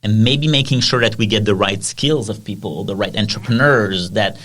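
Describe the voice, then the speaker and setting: A man's voice: lowish voice, normal speed, fairly bright tone. A man with a French accent hosting a podcast discussion, earnestly asking his guests questions. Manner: earnest, asks guests by name. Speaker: podcast host. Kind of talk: casual discussion.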